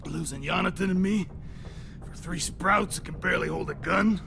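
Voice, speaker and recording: An actor playing a cocky, crude older raider held captive in a video game, with a gravelly drawl and a taunting, folksy manner. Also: male voice, high voice, normal speed, background noise. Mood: grim.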